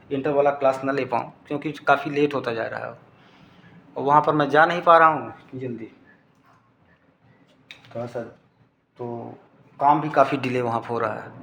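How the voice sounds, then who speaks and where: A man's voice: on the high side, a bit bright. A man with an Indian accent giving an informal technical lesson, explaining and repeating key terms. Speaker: teacher. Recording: echoey room, background noise.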